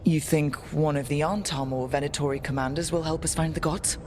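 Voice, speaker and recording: Actor as burdened squad leader, medium pitch, earnest, plain-spoken, subdued, game drama, noisy background rumble. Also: fairly even intonation, brisk, natural voice. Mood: questioning, earnest. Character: earnest, burdened young team leader fighting the gods. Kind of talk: dramatic video game dialogue.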